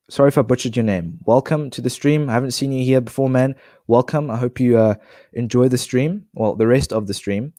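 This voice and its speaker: A man's voice: medium pitch, dark tone, no echo, clean studio sound. A man streaming a football manager game, talking casually and rambling with restarts, his nose blocked. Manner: chatty, rambling, many restarts and fillers. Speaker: gaming streamer.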